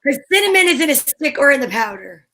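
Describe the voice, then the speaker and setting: A woman's voice: sits high, big pitch swings, quick pace, gravelly, bright, forceful tone. A woman co-hosting a livestream in casual, chatty banter. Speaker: co-host of a livestream show.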